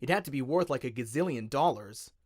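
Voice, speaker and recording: A man with an Australian accent giving an expressive, dramatic audiobook narration in radio-play style. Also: mid-range voice, a little fast, no echo, studio quality. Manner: expressive storytelling.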